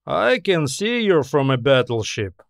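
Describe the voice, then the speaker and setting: A man's voice: on the high side, big pitch swings, unhurried, creaky, no room sound, studio quality, heavily acted. A man voicing a genial old scientist in an audiobook, mild and polite, playfully forgetful and slyly self-deprecating. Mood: amused, wry.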